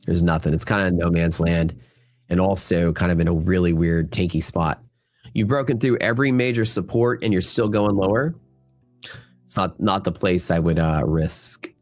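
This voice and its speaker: A man's voice: low voice, normal speed, soft background music. A man hosting a live futures trading stream, talking loosely to his chat, his voice worn and nasal from a cold. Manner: loose, filler-heavy talk to the chat. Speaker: futures trading livestream host. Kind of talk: casual live market commentary.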